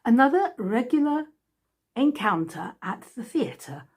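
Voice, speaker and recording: A woman reading a scripted narration aloud in a measured, storytelling way. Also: fairly high pitch, sing-song, breathy edge. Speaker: author reading from own book.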